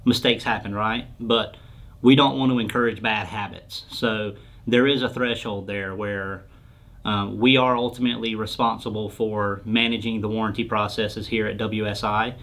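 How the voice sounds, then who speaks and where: A man's voice: fairly low pitch, fairly even intonation, normal speed, fairly bright tone. A man answering questions as a company product expert, in an informal, plain-spoken explanatory style. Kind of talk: casual explanatory interview talk.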